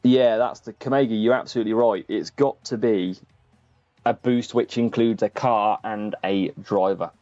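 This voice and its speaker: Low, mellow male game streamer, muffled sound. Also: steady tone, moderate pace, no echo. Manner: chatty, addresses viewers by name. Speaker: streamer and host of a gaming channel. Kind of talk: casual live-stream game commentary, answering chat. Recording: muffled lo-fi sound, music behind. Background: faint background music.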